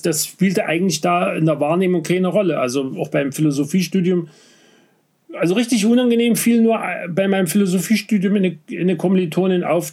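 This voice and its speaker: A man from Saxony with a slight Saxon accent, talking in a casual conversation, halting and full of restarts and fillers. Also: on the high side, vocal fry, darkish tone. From Saxony, Vogtland. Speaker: interviewee.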